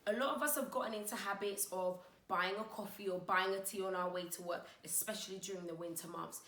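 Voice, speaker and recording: Woman w/ a croaky under-the-weather voice, chatty money tips, echoey room. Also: medium pitch, steady tone, a little fast, a bit bright. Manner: chatty, direct, addresses viewers as guys. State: croaky from being unwell. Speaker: finance vlogger. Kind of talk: casual advice vlog.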